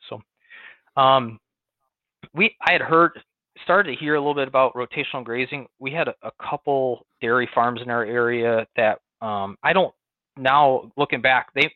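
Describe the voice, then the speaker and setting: Western New York farmer on a tinny line. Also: male voice, medium pitch, normal speed, no echo. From Western New York, near Buffalo. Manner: relaxed storytelling with many "um"s and restarts. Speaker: guest, cattle farmer. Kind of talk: conversational interview. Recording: tinny phone-like line, dry.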